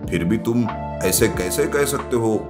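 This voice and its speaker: A stern, authoritative storybook king of tiny folk, voiced by a male narrator, fairly low in pitch. He has a questioning, commanding manner, set over clear background music. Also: expressive, measured pace. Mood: skeptical reproach. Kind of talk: animated Hindi story dialogue.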